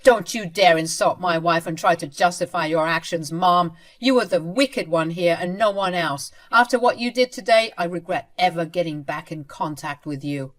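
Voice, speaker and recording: A woman voicing a furious husband confronting his mother, speaking sternly and forcefully. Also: on the low side, normal speed, lightly acted. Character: furious protective adult son and father.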